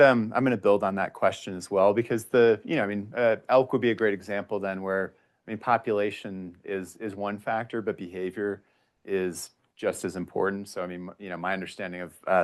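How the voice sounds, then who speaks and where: A man with a deep voice moderates a panel discussion. He talks informally and without a script, often circling and rephrasing in a tentative way as he puts his questions. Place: indoor public panel discussion at a library.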